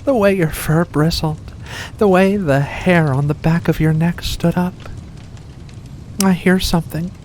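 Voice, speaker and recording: A woman's voice: lowish voice, measured pace, darkish tone, a little hiss or hum, natural voice. A woman voicing an ancient, seductive furry vampire in an ASMR roleplay, coaxing and genteel with veiled menace. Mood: predatory relish. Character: ancient predatory vampire with furry paws, seductive, faux-kindly. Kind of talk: ASMR roleplay addressed to the listener.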